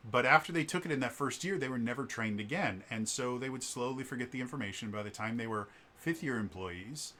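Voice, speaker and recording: A man with a bass voice talking through a casual vlog commentary, explaining things in a chatty way with many fillers and a stutter on repeated sounds. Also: sharp tone. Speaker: vlogger.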